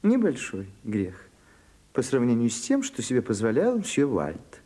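Fussy male servant-secretary, deferential, nervous, husky voice, lively pitch, prim manner, radio drama. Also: measured pace. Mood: flustered, prim. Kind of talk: Soviet radio drama acting.

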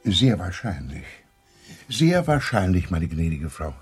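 A man in a radio play acting an old, worldly-wise doctor, gravelly, courteous and shrewd, speaking in a measured, formal way. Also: low-pitched, big pitch swings, faint music behind, exaggerated voice. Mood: courteous, soothing. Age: older.

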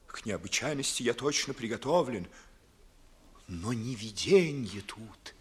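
A proud, wounded young gentleman declaims in slow, theatrical verse. His voice is very husky and rises and falls widely with bitter passion, in the manner of 1950s stage radio drama. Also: mid-range voice, expressive. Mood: agitated, brooding. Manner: declamatory, agitated, theatrical monologue. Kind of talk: 1950s Russian classical radio theatre acting.

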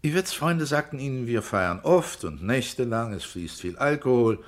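An actor playing a shrewd police investigator in a German radio crime drama, speaking in a measured, precise, clipped and insistent way. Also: male voice, mid-range voice, animated, close to an everyday voice. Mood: probing, cornering. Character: shrewd male police investigator, coolly cornering a suspect.

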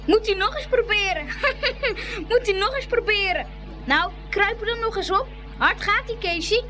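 A woman's voice: very high voice, moderate pace, over music. A woman playing a jeering child who teases in sing-song fashion, in a radio play. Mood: taunting. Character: jeering, taunting child at the fair. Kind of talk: Dutch radio play acting.

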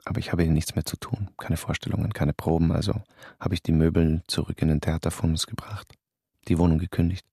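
Low gravelly man narrating a radio play. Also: flat delivery, fairly quick, warm tone, dry recording, clean studio sound. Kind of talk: first-person radio drama narration.

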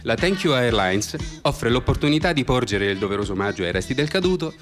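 An actor playing a mock-solemn, pompous military radio announcer who reads scripted satirical bulletins in formal style. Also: male voice, medium pitch, very animated, brisk, soft background music, lightly acted. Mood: polished sales pitch. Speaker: radio presenter.

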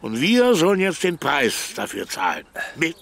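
A brusque, indignant professor. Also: male voice, a little high, huge pitch swings, moderate pace, creaky, a bit bright, exaggerated voice. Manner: brusque, bossy. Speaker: rocket scientist professor. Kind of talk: radio play acting.

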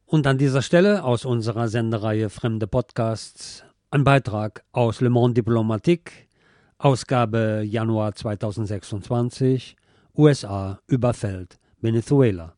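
A man's voice: medium pitch, a little slow, warm tone, dry recording, studio quality. A man hosting a radio show, announcing songs and segments.

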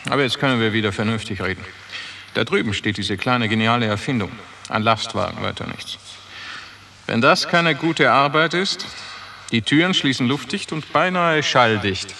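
An actor playing a cold, cynical, commanding camp officer in a radio drama, smugly coaxing and matter-of-fact about cruelty. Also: male voice, medium pitch, moderate pace, bright, forceful tone, slight background noise. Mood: smug, proud. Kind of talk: 1960s German radio drama acting.

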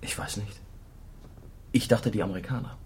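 An actor playing a young, unsure newspaper reporter who narrates and talks in a radio drama. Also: male voice, on the low side, moderate pace, breathy edge. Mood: tentative, probing. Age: young adult. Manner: hesitant in dialogue, sober in narration.

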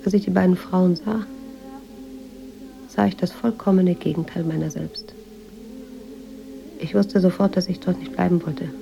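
A woman's voice: on the low side, fairly even intonation, unhurried, long pauses, mellow, a little hiss or hum. An actress playing a weary, introspective woman writer who narrates a radio drama in a subdued, reflective way. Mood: pensive, uneasy. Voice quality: husky, creaky.

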